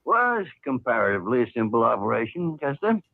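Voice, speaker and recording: A muffled, dry vintage radio recording carries a crusty older doctor. He is blustery, brusque and sardonic, delivering his lines in 1950s radio-drama style at a medium pitch. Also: big pitch swings, fast talker, vocal fry, dry recording. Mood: grave explaining. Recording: old 1950s radio broadcast, muffled narrow band.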